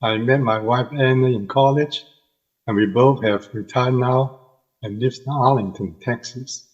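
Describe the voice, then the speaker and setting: Older male podcast guest, Hong Kong accent, husky, dull lo-fi audio. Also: medium pitch, unhurried. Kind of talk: personal testimony.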